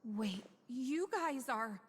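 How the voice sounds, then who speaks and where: A woman's voice: a little high, expressive, extremely slow, heavy background noise. An actress playing a timid, uneasy young woman in an anime game, hesitant and apologetic. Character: timid, uneasy young female society member. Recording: long reverb, game music behind.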